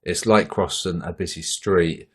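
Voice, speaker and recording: Deep-voiced, tired man. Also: unhurried, breathy edge. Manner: relaxed, rambling, frequent fillers. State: tired after a long bike ride. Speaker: trading channel host. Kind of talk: casual screen-share market commentary.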